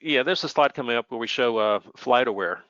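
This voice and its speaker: A man's voice: mid-range voice, brisk, bright, forceful tone, smooth, dry recording, highs cut off. A plainspoken, matter-of-fact man giving an informal technical explanation as an expert air traffic controller.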